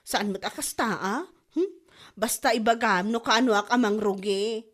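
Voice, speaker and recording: A woman's voice: medium pitch, measured pace, fairly bright tone. A woman with an Ilocano accent playing a chatty, feisty young waitress in a comedy audio drama.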